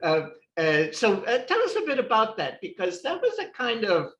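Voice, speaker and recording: A chatty man hosts a podcast interview, asking questions with frequent restarts. His voice sits somewhat high for a man, and it rings in a roomy, echoey space. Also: wildly swooping pitch, moderate pace, fairly bright tone, highs cut off. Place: Hong Kong.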